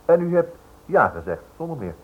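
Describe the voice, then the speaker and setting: A man's voice: fairly high pitch, big pitch swings, normal speed, slightly rough, narrow, tinny audio. An actor playing a polite, inquisitive male writer who stammers hesitantly over his words in a Dutch radio drama. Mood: probing. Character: inquisitive, hesitant writer probing a criminal case. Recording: noisy old radio drama recording.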